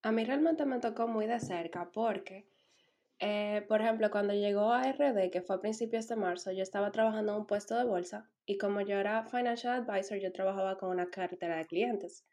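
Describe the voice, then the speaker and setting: A Dominican woman in her twenties, a podcast guest, telling her story in a relaxed way with light laughs. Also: mid-range voice, a little fast. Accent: Dominican Spanish. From Dominican Republic. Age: 20s. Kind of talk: casual podcast chat.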